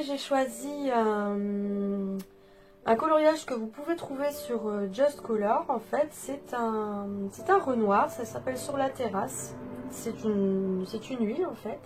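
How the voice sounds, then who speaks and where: A French woman with a cold giving a relaxed coloring tutorial, talking hesitantly with many fillers and restarts. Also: on the high side. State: congested with a cold, coughing. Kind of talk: relaxed step-by-step drawing tutorial. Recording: with guitar music playing behind.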